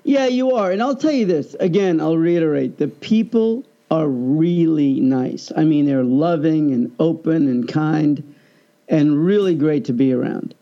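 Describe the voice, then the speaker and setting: A man, co-hosting a podcast as a lively storyteller who laughs often and keeps checking in with "right?". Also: a little high, a little slow, highs cut off. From Kansas, United States. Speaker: podcast co-host. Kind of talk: casual chat.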